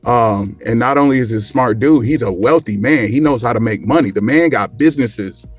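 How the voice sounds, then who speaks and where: A man chatting casually in a group, informal and often halting as he searches for his words. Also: mid-range voice, breathy edge, no echo. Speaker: show guest. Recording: background music under the voice.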